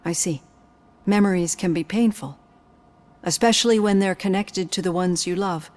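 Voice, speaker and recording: An actress playing a composed psychologist in a video game, speaking in a calm, measured, gently probing way. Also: female voice, lowish voice, natural voice. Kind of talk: scripted game dialogue.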